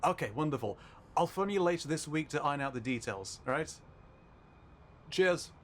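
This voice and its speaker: A man's voice: on the high side, expressive, normal speed, a bit bright. Teasing mechanic played by a man, noisy background. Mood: friendly. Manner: teasing, sardonic, casual. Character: teasing, blunt, playful male mechanic shop owner. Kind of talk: ASMR roleplay audio drama acting. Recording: clear background ambience noise.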